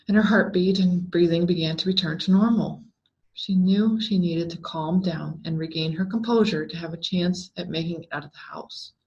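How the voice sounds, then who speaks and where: Low-voiced woman reading a spooky story. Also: a little mellow. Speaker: story reader. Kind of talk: scripted horror story narration, read aloud. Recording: dull, lo-fi sound.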